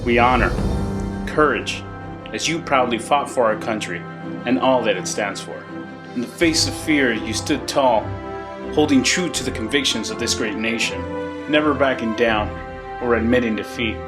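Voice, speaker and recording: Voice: mid-range voice, normal speed. A speaker reading a solemn, scripted tribute about courage. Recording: reading over clear music.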